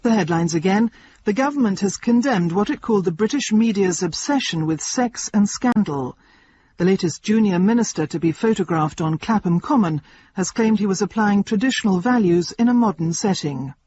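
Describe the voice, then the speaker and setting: A woman playing a deadpan radio newsreader, reading scripted headlines in a measured, straight-faced way. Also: mid-range voice, dull audio, slight background noise, lightly acted.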